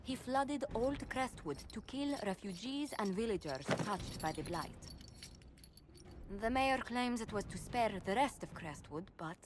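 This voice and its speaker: A woman's voice: a little high, animated, measured pace, noisy background. An actress playing a poised female ambassador and former bard in a video game, speaking formally and with composure.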